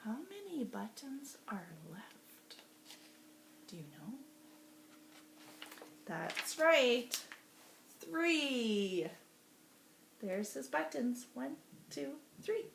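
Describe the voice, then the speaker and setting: A woman teacher reading a storybook aloud to young children in an expressive, sing-song way, asking them questions as she goes. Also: fairly high pitch, drawn-out words, gravelly, pauses often. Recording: roomy echo with faint background noise.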